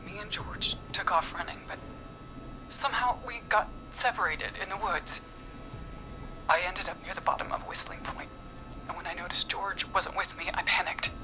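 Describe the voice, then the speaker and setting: A woman's voice: lowish voice, moderate pace, natural voice, rough recording. Woman acting a bitter, vengeful young woman over music. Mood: bitter, menacing. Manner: dramatic, breaks into whispers. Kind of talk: video game voice acting.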